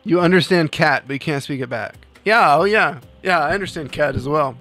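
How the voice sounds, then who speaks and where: A man's voice: on the high side, a little fast, fairly bright tone. Chatty male streamer, faint music behind. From New Jersey. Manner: rambling, laughs often, reads chat aloud. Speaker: livestream host. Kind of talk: casual chat with live chat.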